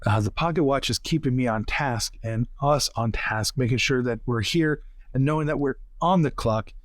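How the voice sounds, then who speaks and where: A man's voice: medium pitch, normal speed, dry recording, clean studio sound. A man playing a tabletop roleplaying game, improvising talk in character.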